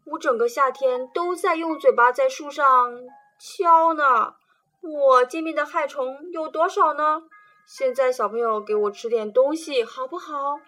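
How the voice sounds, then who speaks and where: A woman's voice: high-pitched, unhurried, voice pushed up. A woman voicing a hungry spotted woodpecker that boasts of its work while asking for food. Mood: boastful. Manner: loud, boastful pleading.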